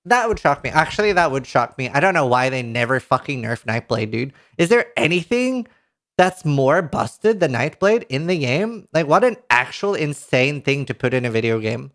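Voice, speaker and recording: A man in his thirties with a Norwegian accent reads patch notes aloud to a live chat, reacting with excited outbursts and casual swearing, into a headset mic. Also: medium pitch, normal speed. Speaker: streamer. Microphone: headset mic at a desk.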